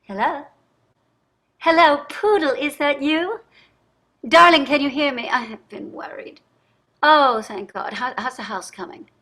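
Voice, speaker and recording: A woman's voice: high-pitched, measured pace, long pauses, pushed and bright. An actress in a staged play reading, playing a wealthy, entitled society wife and mother with an affected, gushing manner. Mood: anxious, gushing. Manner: affected, gushing, effusive endearments.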